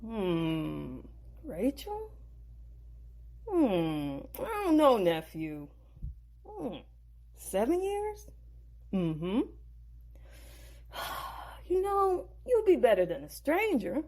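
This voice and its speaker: A sly, scheming older uncle, voiced by a woman in a storybook audio drama. He hums and drawls his way through bargains in easy slang. The voice sits high and swoops widely, unhurried. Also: huge pitch swings, dark tone, full character voice. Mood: bargaining. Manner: smooth-talking, slangy, drawn-out hums.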